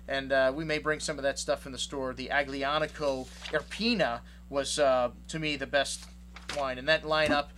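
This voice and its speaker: A man's voice: medium pitch, flat delivery, moderate pace, fairly bright tone, slight background noise. A man who runs a wine shop, talking casually through his tasting notes and rambling, with many fillers. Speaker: wine shop owner reviewing wines.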